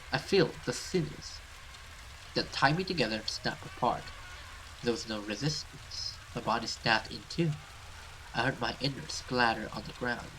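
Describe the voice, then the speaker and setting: A man's voice: medium pitch, fairly bright tone, a little hiss or hum, rough recording. A man hosting a let's play, reading a horror visual novel aloud and stumbling over some words as he goes.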